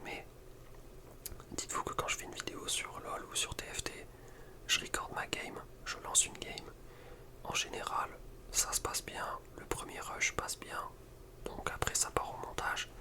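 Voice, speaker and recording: A young man speaks entirely in a soft, breathy whisper. He chats informally in slangy, rambling phrases, in the manner of an ASMR video creator talking to viewers. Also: unhurried. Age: young adult. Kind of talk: whispered ASMR chat about personal routine.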